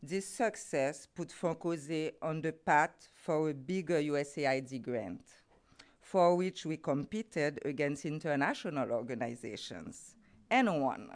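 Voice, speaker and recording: A woman's voice: sits low, animated, measured pace. A middle-aged woman from Haiti with a Haitian accent, speaking English as a second language and giving a formal, carefully phrased talk about her development work. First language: Haitian Creole or French. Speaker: guest speaker, NGO program head. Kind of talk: prepared conference talk.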